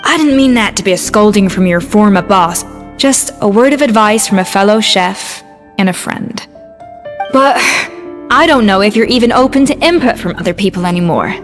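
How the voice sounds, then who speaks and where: An actress voicing a composed adult female head chef in a video game, speaking in a calm, measured and firm way. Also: medium pitch, big-room sound, faint music behind, lightly acted. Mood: earnest.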